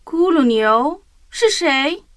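A woman playing a sly, hungry snake in a children's audio drama, drawn-out and whispery. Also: high-pitched, animated, noisy background. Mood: suspicious.

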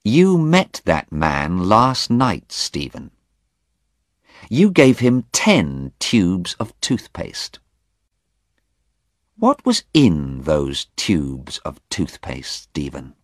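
A man's voice: low voice, wildly swooping pitch, extremely slow, dry recording, clean studio sound, heavily acted. A man reading aloud voices a determined woman pressing a young man with urgent questions. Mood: probing. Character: determined middle-aged woman, urgent and firm.

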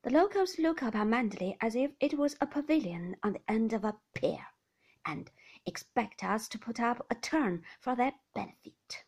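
A brusque gentleman of the house speaks bluntly, with weary dry humor. A woman reads him in an audiobook with a breathy, husky voice. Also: fairly high pitch, expressive, moderate pace. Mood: irritated.

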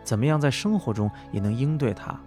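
A man's voice: mid-range voice, animated, fairly quick, darkish tone, soft background music. A man hosting an interview podcast, speaking reflectively and conversationally. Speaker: podcast host. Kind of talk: reflective narrated introduction, then interview conversation.

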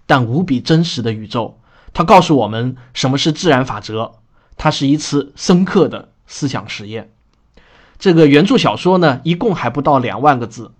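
Man, podcast host, medium pitch, earnest explanatory monologue, deliberate delivery. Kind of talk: spoken introduction, explanatory monologue.

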